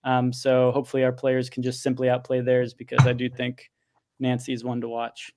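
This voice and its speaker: A man in the middle pitch range speaks in a flat, even tone on a podcast panel. He weighs his words a little hesitantly. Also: fairly quick, background noise. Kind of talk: casual podcast discussion.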